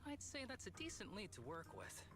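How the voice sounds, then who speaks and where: An actor voicing a laid-back young male agent in a video game, wry but reflective, with soft, measured, earnest delivery. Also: high voice, wildly swooping pitch. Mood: subdued. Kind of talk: scripted video game dialogue. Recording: game audio with music behind.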